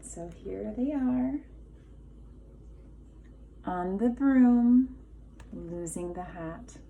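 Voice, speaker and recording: A woman kindergarten teacher reading a children's storybook aloud expressively, deliberate and emphatic, pausing for questions. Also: medium pitch, vocal fry, mellow, lo-fi sound, a little hiss or hum.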